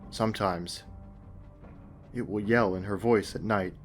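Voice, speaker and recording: A man's voice: fairly low pitch, steady tone, unhurried. A man reading a scripted first-person horror story as narrator. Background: faint music.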